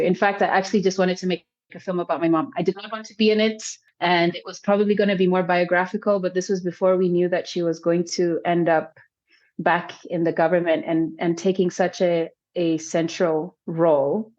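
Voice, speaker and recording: A woman's voice: on the low side, a little mellow, highs cut off. A woman filmmaker from South Sudan answering interview questions thoughtfully, often restarting her sentences as she thinks. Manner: thoughtful, many restarts and hedges. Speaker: filmmaker guest.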